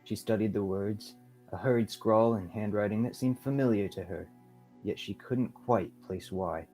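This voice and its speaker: A man's voice: lowish voice, normal speed, a little mellow, soft background music, low-quality recording. A young man reading scripted narration for a radio theatre play. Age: young adult.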